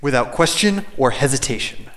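An actor playing a nervous, deferential rebel underling in an audio drama, hesitant when speaking to a superior. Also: male voice, medium pitch, animated, brisk, a bit bright. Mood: obedient.

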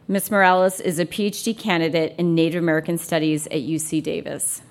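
A woman's voice: low voice, steady tone, a little fast, a little hiss or hum. A woman moderating a panel, giving formal introductions and putting questions to the speakers.

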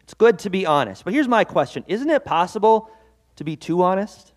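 Earnest pastor, conversational and lively. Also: male voice, high-pitched, fairly quick. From Norwegian-American heritage. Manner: conversational and earnest, with self-deprecating asides. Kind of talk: devotional sermon.

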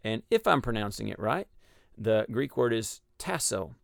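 A man with a Texas accent explaining a Bible passage in a measured, teaching way on a radio discussion. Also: lowish voice, expressive, gravelly, no room sound, clean studio sound. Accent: Texan. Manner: measured, explanatory, with frequent ums and uhs.

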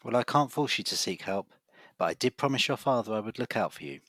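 A male family doctor, played by an actor, speaks with professional, measured earnestness. His voice is low and rough-edged yet bright and forceful, recorded dry for an audio drama. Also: expressive, no echo. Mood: reassuring, concerned. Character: reassuring, persistent male family doctor with a secret.

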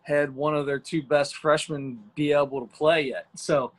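A man's voice: on the high side, fairly even intonation, normal speed. A man co-hosting a casual sports podcast, upbeat and rambling, calling himself a positive thinker. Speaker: podcast co-host.

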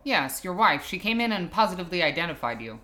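A woman's voice: fairly low pitch, sing-song, fast talker, pushed and bright. A woman playing a brusque, impatient hospital doctor in an improvised film noir audio play, curt and matter-of-fact.

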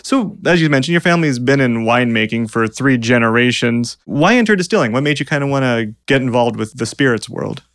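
A man hosting a podcast interview, upbeat and quick with follow-up questions, chuckling often. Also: medium pitch, animated, a bit bright, no room sound, studio quality. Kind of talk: casual interview chat.

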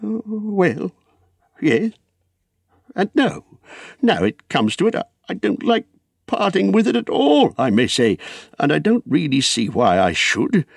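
A man acts out an old male hobbit from a storybook, his voice pitched high and swooping widely. He is fretful, wistful and stubbornly possessive, rambling emphatically with querulous hesitations. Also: big pitch swings, slow, vocal fry, long pauses, breathy edge, dry recording, studio quality, heavily acted. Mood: flustered, defensive. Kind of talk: dramatised storybook reading.

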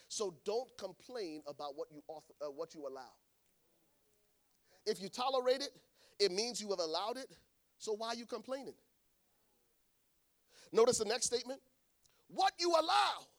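A man preaching a sermon to a congregation, swinging between hushed lines and shouted ones. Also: high-pitched. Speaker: pastor. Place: church in front of a congregation.

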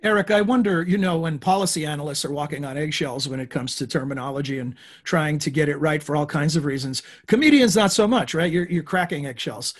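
A man with a fairly high voice moderates a podcast panel. He poses conversational, probing questions and steers the discussion toward each guest. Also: a little fast. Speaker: podcast host.

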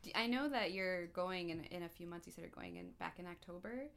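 A woman with a fairly low voice co-hosts a relaxed conversation. She asks questions with restarts and hedges, and affirms the guest with short remarks. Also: a little fast, gravelly. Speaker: podcast co-host. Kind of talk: casual interview questions.

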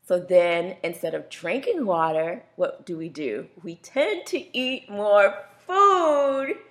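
A woman hosts a radio health show in a loose, chatty way. Her pitch sits in an ordinary middle range. She often laughs and plays out what other people say. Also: big pitch swings, slow pace. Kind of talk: casual radio talk.

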